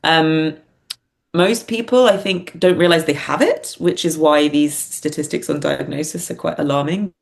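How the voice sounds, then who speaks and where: A woman's voice: sits low, normal speed, creaky. A woman talking candidly and reflectively in a casual interview, thinking aloud as she goes. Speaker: podcast guest, playwright and performer.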